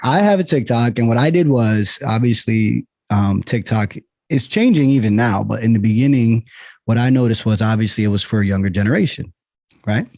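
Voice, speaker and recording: A man's voice: medium pitch, brisk, dark tone, no echo. A middle-aged man hosting a casual podcast interview, rambling and restarting his words often. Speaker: podcast host.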